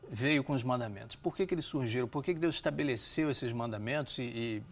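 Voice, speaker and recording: A man with a Brazilian Portuguese accent hosting a Bible discussion in a measured, didactic way, often seeking agreement. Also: medium pitch. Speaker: host of a religious programme.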